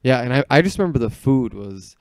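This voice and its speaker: A man's voice: mid-range voice, lively intonation, fast, a little mellow, smooth, dry recording, studio quality. An American man telling stories in casual, comedic podcast chat, joking and peppering his talk with "like".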